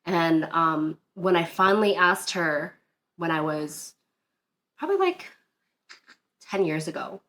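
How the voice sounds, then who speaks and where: A woman's voice: lowish voice, expressive, slow, pauses often, fairly bright tone, slight background noise. A woman from Northern Virginia, a DJ guest, telling a personal story in a casual interview, earnest and rambling with many fillers and restarts.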